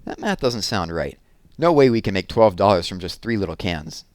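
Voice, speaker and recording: A cool, casual schoolgirl from a children's storybook, acted out by a man. She sounds offhand and skeptical, with a pitch that moves around freely, in a dry recording. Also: animated, moderate pace, no room sound. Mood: bored, doubtful. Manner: offhand, laid-back. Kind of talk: children's storybook read-aloud.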